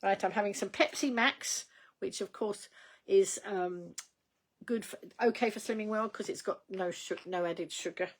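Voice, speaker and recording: An older woman hosting a casual livestream, rambling in a chatty way with many ums and small laughs, her voice going slightly croaky. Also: medium pitch, moderate pace. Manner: chatty, rambling, reads out viewer comments, frequent ums and laughs. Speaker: livestream host.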